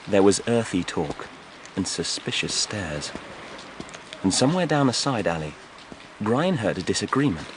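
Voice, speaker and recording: A man's voice: on the low side, big pitch swings, normal speed, pauses often, noisy background. A man reading fiction as a dramatic audiobook narrator, from a script.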